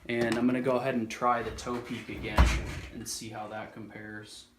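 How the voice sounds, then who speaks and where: A man's voice: mid-range voice, flat delivery, normal speed, reverberant, very noisy. A man giving a casual product demonstration as a reviewer, thinking aloud in a loose, slightly hesitant way.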